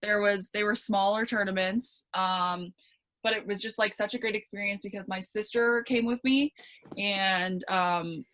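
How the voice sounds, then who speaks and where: A woman's voice: mid-range voice, steady tone, unhurried, a bit bright. A young woman with a Canadian accent, a pro tennis player chatting as a podcast guest, with plenty of fillers, restarts and easy laughs. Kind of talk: casual interview chat.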